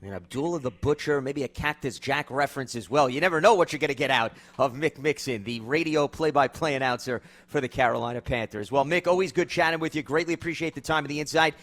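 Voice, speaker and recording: A man's voice: mid-range voice, fast, few pauses, fairly bright tone. A man co-hosting a sports show, chatting casually with a guest on air. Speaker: sports show co-host, interviewer. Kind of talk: casual broadcast sign-off.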